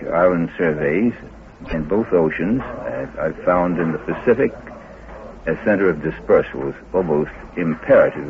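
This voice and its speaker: A man with a very deep voice gives expert answers in an interview. He builds sentences haltingly, in deliberate short bursts. The sound is phone-like, with noticeable chatter in the background. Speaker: scientist interviewee. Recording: phone-like old recording, chatter behind.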